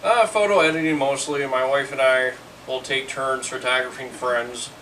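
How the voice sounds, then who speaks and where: Bright-voiced man in his thirties, matter-of-fact. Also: medium pitch, fairly even intonation, normal speed. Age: 30s. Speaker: tech guy. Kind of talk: casual interview answers. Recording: distant mic with faint noise.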